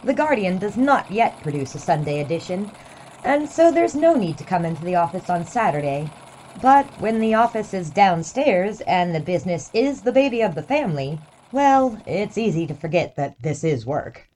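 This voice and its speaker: A woman's voice: lowish voice, very animated, moderate pace, a little mellow. A woman playing a wry, affectionate newspaper assistant editor, narrating an audio drama in a conversational way. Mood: wry, fond. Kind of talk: scripted first-person drama narration. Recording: clearly noisy, background ambience.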